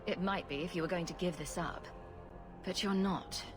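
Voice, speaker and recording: An actress playing a confident, sardonic young adventurer in a video game, speaking in a dry, direct way. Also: female voice, lowish voice, moderate pace, music in the background, close to an everyday voice. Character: wry, confident young female adventurer hero. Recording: loud ambient noise behind.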